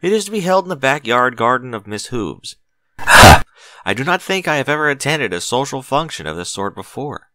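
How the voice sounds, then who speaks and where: Man playing an anxious, formal unicorn gentleman, animated pitch. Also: normal speed, dry recording. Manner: formal, precise, fretful. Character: anxious, stiffly formal male unicorn letter-writer. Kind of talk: storybook character reading a letter.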